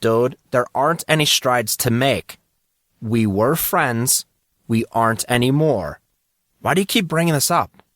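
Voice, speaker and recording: Man voicing an excitable, slangy teenage schoolboy. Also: mid-range voice, a little slow, dry recording, clean studio sound. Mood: defensive. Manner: casual slangy banter. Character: excitable teenage schoolboy, teasing, stubborn best friend. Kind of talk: audio drama dialogue.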